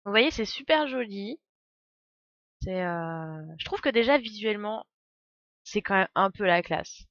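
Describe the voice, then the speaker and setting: A woman's voice: medium pitch, animated, unhurried, fairly bright tone, dry recording, dull audio. A woman doing casual, playful, rambling live commentary on a game. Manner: playful, giggly, exclamatory, rambling. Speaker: game streamer.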